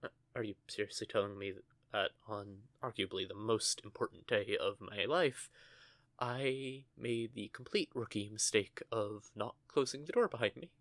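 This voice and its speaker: A man's voice: on the low side, normal speed, a bit bright, no room sound, close to an everyday voice. A young man voicing a nervous, stuttering young male witch in an audio roleplay, recorded close and dry. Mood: flustered. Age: young adult. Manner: stammering, flustered.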